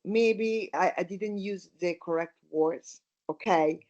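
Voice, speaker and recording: A woman with an Italian accent, speaking English with a somewhat low voice. She talks haltingly, with pauses, fillers and restarts, like someone working in a second language. The audio sounds dull and lo-fi, as on a remote connection. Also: a little mellow. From Italy. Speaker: guest. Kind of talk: casual interview.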